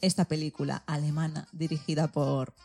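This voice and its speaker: Mellow, lowish-voiced Spanish woman presenter. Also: level tone, normal speed, creaky. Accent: Spain. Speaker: radio programme co-host. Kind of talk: scripted magazine-show presenting.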